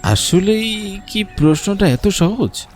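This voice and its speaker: A man's voice: high voice, expressive, slow pace, darkish tone, voice pushed up. A man voicing an eager young village fellow in a cartoon, thinking aloud over riddles. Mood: puzzled. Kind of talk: Bengali cartoon story dialogue. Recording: music behind the voice.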